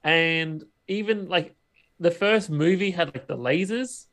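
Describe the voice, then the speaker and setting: A man's voice: fairly high pitch, measured pace, a bit bright. A man co-hosting a podcast, talking casually and conversationally about films. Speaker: podcast co-host. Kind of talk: casual film chat.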